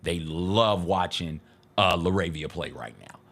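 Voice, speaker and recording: A man's voice: low voice, a little slow, fairly bright tone. An American man co-hosting a casual sports talk show in a studio, speaking emphatically and repeating phrases for emphasis. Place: radio-style studio.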